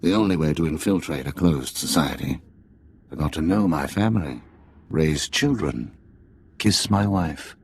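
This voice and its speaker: A man playing a jaded middle-aged undercover spy in a video game, with a gravelly, husky voice and a sardonic, deliberate manner. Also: a bass voice, expressive, darkish tone, a little hiss or hum, full character voice. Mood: bitter, disgusted. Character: jaded male Imperial spy posing as alien tea house owner, middle-aged father. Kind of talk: cinematic video game dialogue.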